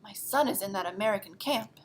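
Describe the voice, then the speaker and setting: A woman's voice: medium pitch, expressive, fairly quick, natural voice. A woman playing plucky, defiant colonial women in an amateur comic read-aloud. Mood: resolute.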